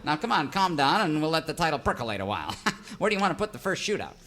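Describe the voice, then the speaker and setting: A man's voice: fairly high pitch, sing-song, bright, forceful tone, exaggerated voice. A man playing a crass, glib American movie producer who chuckles his way through a parody radio serial. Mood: gleefully pushy. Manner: chuckling, fast-talking, glib, dismissive. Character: breezy, crass male Hollywood movie producer.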